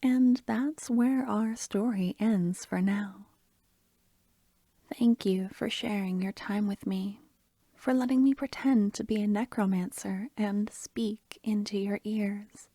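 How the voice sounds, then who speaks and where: Soft breathy lady telling asmr stories, half whispering, real slow and gentle, dry close sound. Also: medium pitch, no echo. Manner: gentle, soothing. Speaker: ASMR storyteller, channel host. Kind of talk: softly spoken ASMR narration.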